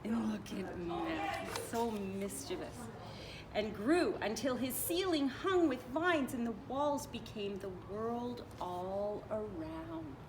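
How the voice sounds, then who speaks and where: A woman reading a picture book aloud like a teacher, expressive, stretching and stressing her phrases for children. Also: a little high. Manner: expressive, drawn-out phrasing with emphasis. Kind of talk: animated children's storytelling. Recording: distant mic with clear background noise.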